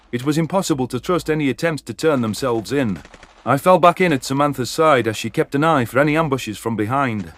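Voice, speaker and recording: A man's voice: medium pitch, lightly acted. A man playing a grieving, vengeful alien soldier who narrates a sci-fi war story in earnest, formal, articulate language. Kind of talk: first-person sci-fi story narration and dialogue.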